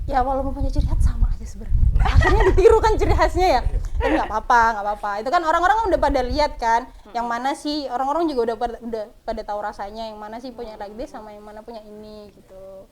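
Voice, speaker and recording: Woman from Madura, podcast guest, chatty, modest, fairly high voice, faint hiss. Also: fast. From Madura, Indonesia. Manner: chatty, modest, laughs often. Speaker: guest, small dessert business owner. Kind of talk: casual podcast interview.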